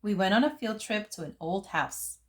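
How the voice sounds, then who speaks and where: A woman reading a picture book aloud as a storyteller, phrasing it deliberately for young listeners. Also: medium pitch, lively intonation. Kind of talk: expressive picture book narration.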